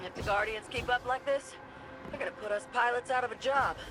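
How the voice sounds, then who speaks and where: Woman as a high-voiced brash pilot. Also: brisk, lightly acted. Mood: cocky, dry. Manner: folksy, blunt, informal. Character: brash, cocky military pilot. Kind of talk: video game voice acting. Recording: noisy vehicle ambience behind voice.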